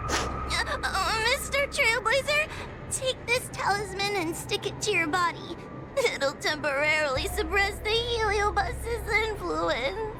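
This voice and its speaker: A woman's voice: very high voice, unhurried, rough recording. A woman voicing a shy, easily frightened crybaby girl in an anime game, whimpering and hesitant, with music behind. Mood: tearful, timid. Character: timid crybaby young ghost-hunter girl, shy and easily scared.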